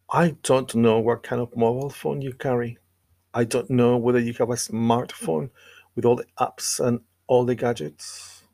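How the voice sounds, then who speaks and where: A man delivering a scripted devotional sermon and scripture reading in an earnest, measured way with deliberate pauses. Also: medium pitch, gravelly, a little mellow. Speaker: preacher.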